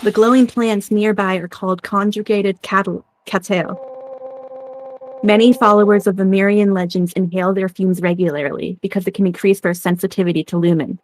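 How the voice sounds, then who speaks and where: A woman plays a kind, learned crewmate in an audio drama. Her mid-pitched voice explains things gently, with faint noise in the recording. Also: fairly even intonation, normal speed, natural voice, low-quality recording. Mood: caring, explaining. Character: kind, knowledgeable young female crewmate with mystical learning. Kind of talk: dramatic game-script acting.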